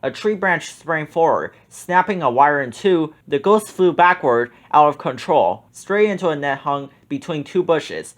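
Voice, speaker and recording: A man narrating a children's storybook aloud with animated, expressive storytelling. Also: on the high side, a little slow, few pauses. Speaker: children's book reader.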